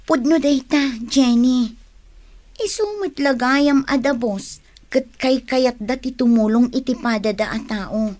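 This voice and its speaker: An actress playing a down-to-earth, kindhearted young woman in an Ilocano radio drama, animated and expressive. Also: high-pitched, unhurried. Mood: glad, willing.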